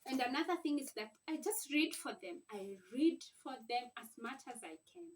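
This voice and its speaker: A woman's voice: sits high, animated, moderate pace. A woman giving an informal reading lesson as a parent, explaining things in an emphatic, repetitive, teacherly way. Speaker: parent teaching early reading, video host. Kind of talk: informal instructional explanation to camera. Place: at home. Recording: distant mic, faint background noise, clicks.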